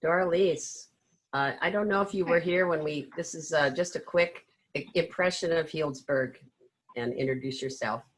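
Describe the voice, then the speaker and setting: A woman's voice: low voice, normal speed, slight background noise. A woman facilitating an informal online meeting, speaking as the host.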